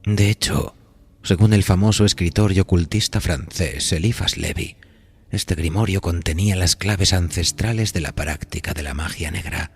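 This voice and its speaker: A man narrating a scripted horror podcast in a solemn, literary, deliberate storytelling manner. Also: deep voice, creaky, breathy edge. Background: music bed.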